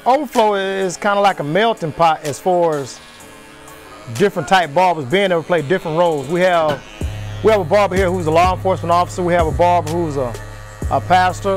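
High-voiced man in an interview. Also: fairly quick. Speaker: barber describing his shop. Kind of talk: documentary interview. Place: indoors in a barbershop. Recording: faint music behind.

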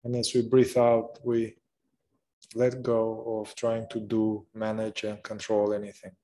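Man, meditation guide, medium pitch, very slow pace, level intonation, gentle delivery, long pauses. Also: completely flat delivery, poor audio.

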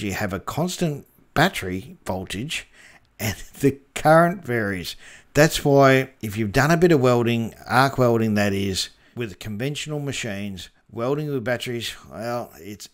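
A man with an Australian accent and a mid-pitched voice narrates a practical tutorial. He explains things informally, step by step. His wording is colloquial and his way of talking is laid-back. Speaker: presenter of a four-wheel-drive repair tutorial.

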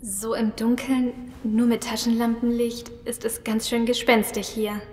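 An actress playing a nervous, questioning survivor in a zombie audio drama, talking colloquially. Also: female voice, on the high side, flat delivery, unhurried, darkish tone, close to an everyday voice. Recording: long cavernous reverb, some noise.

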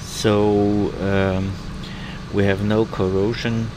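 A man's voice: on the low side, little pitch movement, drawn-out words, background noise. An older man with a German accent casually explaining boat work, searching for words in English. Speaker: sailing vlogger.

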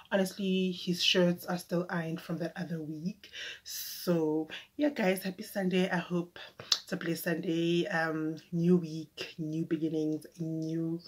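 A woman's voice: on the low side, a little hiss or hum. A young woman chatting casually to her phone camera in her bedroom, rambling with fillers. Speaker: vlogger. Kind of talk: casual vlog chat to camera. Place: bedroom at home, indoors.

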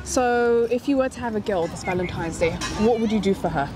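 A woman's voice: a little high, a little fast. A young woman interviewing people on the street, teasing and playful in her questions. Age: young adult. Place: outdoors on city streets. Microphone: handheld mic. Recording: street noise.

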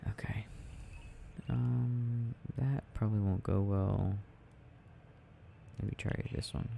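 A young adult man rambling softly and casually in a quiet, sleepy murmur as he plays a game, in an ASMR style. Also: lowish voice, fairly even intonation, takes it slowly, gravelly, narrow, tinny audio. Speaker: audio roleplay creator. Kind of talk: soft casual rambling while gaming, ASMR sleep aid. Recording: faint background noise.

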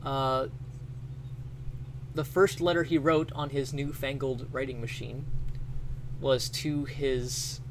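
A man's voice: mid-range voice, steady tone, takes it slowly, pauses often, a little hiss or hum, poor audio. A man in his thirties giving casual, off-the-cuff commentary, often searching for his words. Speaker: streamer presenting a letter. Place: small home bedroom with bookshelves. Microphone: close boom-arm microphone.

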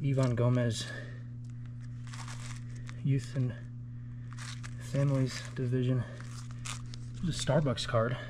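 A man exploring an abandoned building, giving low-key running commentary into a body-worn camera as he walks. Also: flat delivery, takes it slowly, long pauses, background noise. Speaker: urban explorer. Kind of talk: walkthrough narration while exploring. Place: outdoors then inside a large empty building. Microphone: body-worn action camera.

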